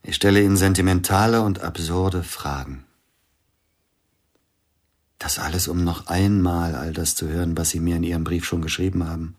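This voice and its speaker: Radio play: a bereaved pianist, deep voice, husky and hushed. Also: male voice, steady tone, moderate pace. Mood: grieving. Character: grieving widowed male concert pianist. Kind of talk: radio drama acting.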